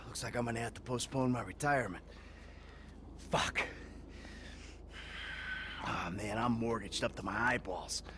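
A man's voice: mid-range voice, a little slow, long pauses, bright, forceful tone, a little hiss or hum. An actor in his forties playing a weary, profane ex-bank robber in a game cutscene. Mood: resigned. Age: 40s. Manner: gruff, exasperated, swears often. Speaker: game character.

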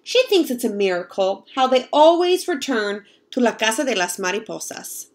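A young woman reading a picture book aloud to children in an expressive, explanatory way. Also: on the high side, moderate pace. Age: young adult. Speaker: read-aloud storyteller, teacher. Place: home kitchen, indoors.

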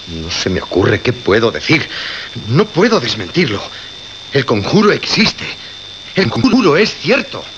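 An actor with a Castilian accent playing a grave, anguished programme director in a radio drama, insistent and repeating phrases for emphasis. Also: male voice, medium pitch, huge pitch swings, measured pace. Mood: agitated, desperate. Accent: Castilian Spanish. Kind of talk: Spanish radio drama acting. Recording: dull, noisy radio recording.

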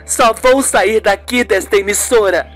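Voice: medium pitch, a little slow, fairly bright tone, soft background music, natural voice. A performer voicing a tense, emotional, effusive woman TV presenter in a radio soap. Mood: tearful gratitude. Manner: pleading, effusive.

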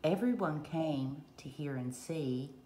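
A woman reading a children's picture book aloud in short, deliberate rhyming phrases, recorded at a table at home. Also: deep voice, dark tone. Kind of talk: read-aloud storytelling. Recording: roomy echo, faint background noise.

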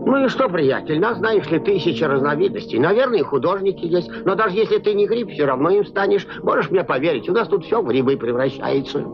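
An actor playing a jovial, self-satisfied old mushroom ruler in a dubbed cartoon. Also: male voice, high voice, expressive. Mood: smug, genial. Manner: chuckling, unhurried, self-satisfied. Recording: muffled old film soundtrack with music.

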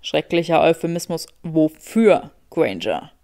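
A woman's voice: sits low, sing-song, a little slow, darkish tone, no room sound, studio quality, voice pushed down, exaggerated voice. A woman voicing a smug, sarcastic young man with a teasing drawl in an audiobook story.

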